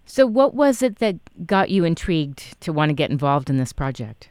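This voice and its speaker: A show host interviewing a guest, asking questions and offering steady encouragement. The voice is smooth and clean. It is recorded close and dry. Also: mid-range voice, normal speed, no echo. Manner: encouraging, reads promo copy. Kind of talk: interview chat.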